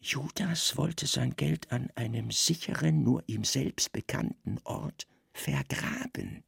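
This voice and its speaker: A man playing a grave, calculating Roman secret service chief who speaks calmly, deliberately and deadpan in a literary radio drama. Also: medium pitch, gravelly, slightly rough, no room sound, studio quality, exaggerated voice. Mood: calm, reasoning.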